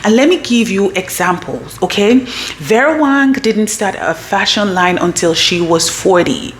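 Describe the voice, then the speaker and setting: A woman's voice: mid-range voice, lively intonation, unhurried, a little hiss or hum. A woman in her thirties hosting a motivational business coaching talk, emphatic and direct, often checking in with "okay?". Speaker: business coach and channel host.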